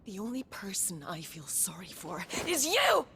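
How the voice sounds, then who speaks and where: A woman's voice: mid-range voice, big pitch swings, normal speed, vocal fry, sharp tone, a little hiss or hum, exaggerated voice. An actress playing a proud, scheming noblewoman in a game cutscene, haughty and mocking. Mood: bitter.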